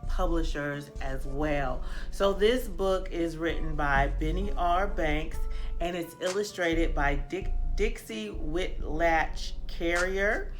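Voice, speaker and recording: A woman reading a children's picture book aloud as a storyteller, in a deliberate, expressive manner. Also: lowish voice, faint music behind. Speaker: read-aloud host, storyteller.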